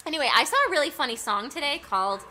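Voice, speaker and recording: A woman's voice: high voice, lively intonation, rapid-fire, sharp tone, a little hiss or hum. A woman from Massachusetts, a singer-songwriter, chatting on a livestream video call in a bubbly, giggly way. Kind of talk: casual chat on a livestream.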